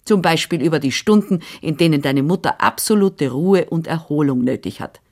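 A woman's voice: sits low, normal speed, a little mellow. A woman reading the part of a stout older housekeeper who is firm, chatty and managing. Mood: bossy.

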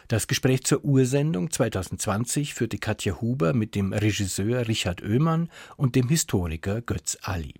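Husky male radio announcer, dry sound. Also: fairly low pitch, a little mellow, no echo. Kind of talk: scripted radio outro narration.

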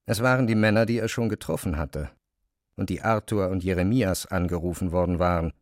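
A man's voice: low-pitched, brisk, dry recording, studio quality. A man narrating literary prose as the storyteller of an audio drama.

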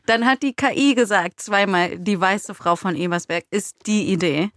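A woman's voice: medium pitch, normal speed, a bit bright, no echo, studio quality. A woman in casual discussion as a podcast co-host, candid and self-ironic. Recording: music behind the talk. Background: music.

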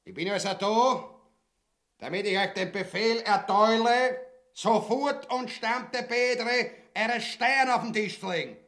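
A man's voice: high-pitched, slow, fairly bright tone, full character voice. An actor playing a greedy, bullying usurper king in a grotesque radio comedy, with a Viennese accent and a pompous, erratic delivery. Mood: menacing, commanding. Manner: blustering, pompous, erratic swings from mutter to bellow.

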